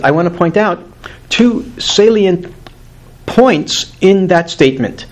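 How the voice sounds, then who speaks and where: A man in his fifties teaching a weekly Torah class to a group, earnest and emphatic, lifting his voice on key words. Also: a little high. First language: not English.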